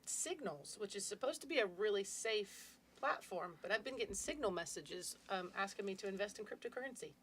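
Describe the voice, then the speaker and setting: A woman co-hosting a radio talk show, calmly explaining in a matter-of-fact way. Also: medium pitch, brisk, hardly any pauses, slight background noise. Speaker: radio co-host, tax preparer. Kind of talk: casual radio chat.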